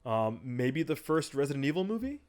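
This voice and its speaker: A man's voice: mid-range voice, a little fast. Casual male podcast co-host. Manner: animated, steering the discussion. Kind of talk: casual chat.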